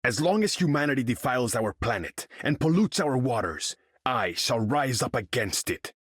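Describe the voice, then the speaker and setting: A man's voice: mid-range voice, lively intonation, moderate pace, a bit bright, breathy edge, no echo, studio quality. An actor playing a proud, imperious sea king in a video game, speaking in a grand, formal way. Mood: proud, scornful. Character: proud, imperious undersea king, haughty and vengeful. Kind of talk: video game character voice lines.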